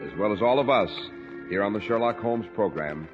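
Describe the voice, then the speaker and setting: A man's voice: fairly low pitch, animated, a little fast. A man announcing a 1940s radio show in a formal, polished sponsor delivery. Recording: muffled vintage radio broadcast, loud organ music. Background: loud organ music.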